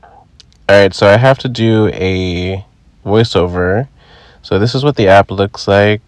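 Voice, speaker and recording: Relaxed man walking through a demo. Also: on the low side, a little slow, no echo. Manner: relaxed, explains step by step with fillers. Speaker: Halloween channel host. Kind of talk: casual product review and demo. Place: indoors, voice-over recorded apart from the footage.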